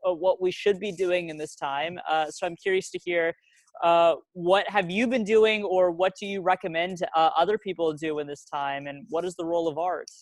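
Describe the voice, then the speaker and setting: Guy asking interview questions, kinda high voice, keeps saying uh. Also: steady tone, no echo. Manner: halting, many uh fillers. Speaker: student interviewer. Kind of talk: interview hosting.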